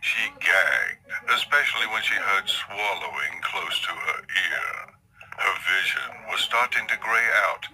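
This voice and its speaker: A man narrating a horror story as a dramatic, theatrical scripted reading, at times with hushed emphasis. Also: low voice, lively intonation, measured pace, low-quality recording.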